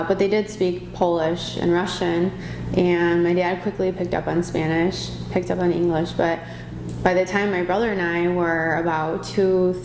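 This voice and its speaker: A woman in her twenties from Chicago, talking in a casual vlog. She is chatty and earnest, with many ums and self-corrections. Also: lowish voice. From Chicago, USA. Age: 20s. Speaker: vlogger. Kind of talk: casual personal vlog to camera. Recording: echoey room with clear background noise.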